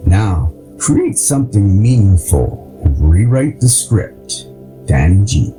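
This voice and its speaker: A man reading an audiobook of memoir and poetry in a deliberate, earnest way. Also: low-pitched, very animated, low-quality recording. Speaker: audiobook narrator. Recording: loud music bed under voice. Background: loud background music.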